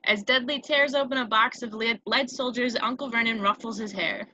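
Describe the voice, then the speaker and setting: A woman's voice: on the high side, steady tone, moderate pace, pushed and bright, highs cut off. A woman reading screenplay stage directions as narrator, with small stumbles, on a noisy home recording with clicks.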